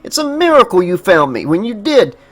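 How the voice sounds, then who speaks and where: A man voicing a frightened middle-aged sanitation worker with a thick Bronx accent, talking fast and urgently. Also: very animated, a bit bright, exaggerated voice. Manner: panicky, urgent, high-strung.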